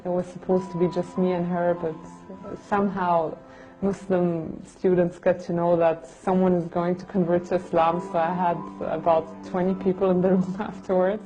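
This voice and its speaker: A woman's voice: lowish voice, level tone, moderate pace, mellow, soft background music, rough recording. A young woman telling her own story in an earnest, reflective way, often restarting her sentences. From Germany. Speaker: convert sharing her story. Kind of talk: personal testimony.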